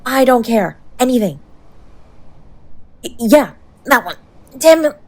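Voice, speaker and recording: A woman playing a tough, sharp-tongued young woman who is secretly tender, in an intimate roleplay audio drama spoken to the listener. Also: on the high side, animated, slow pace. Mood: bossy, worried. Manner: brusque, bossy and teasing with urgent pleading.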